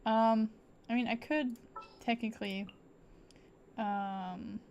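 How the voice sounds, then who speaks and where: Slow-paced, creaky-voiced woman streaming. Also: on the high side, steady tone, a little mellow, lo-fi sound, slight background noise. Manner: thinking aloud in short, playful fragments. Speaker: game streamer. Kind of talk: casual live gameplay commentary.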